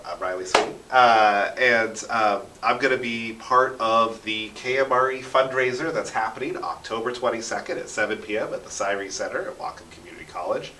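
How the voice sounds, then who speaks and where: A man's voice: mid-range voice, normal speed, sharp tone, slight background noise. An American man telling stories conversationally as an interview guest, with dramatic flourishes. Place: echoey radio studio. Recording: roomy echo, distant from microphone.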